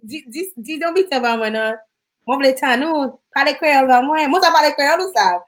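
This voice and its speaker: A woman's voice: high-pitched, a little fast. A woman with a Dominican accent hosting a casual, conversational interview. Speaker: host.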